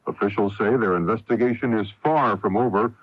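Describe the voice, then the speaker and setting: A man's voice: lowish voice, brisk, no room sound. An American man giving a scripted news report as a radio correspondent, on a dull vintage broadcast.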